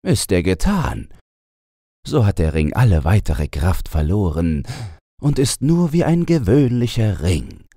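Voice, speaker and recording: Solemn young eagle, pitched up. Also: male voice, big pitch swings, unhurried, mellow, dry recording, studio quality, heavily acted. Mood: solemn, grateful.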